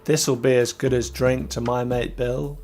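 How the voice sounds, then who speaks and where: A man's voice: medium pitch, flat delivery, a little slow, a little mellow, soft background music, close to an everyday voice. Man playing a sly, menacing old sailor. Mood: sly, probing. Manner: wheedling, drops H's, rough sailor speech.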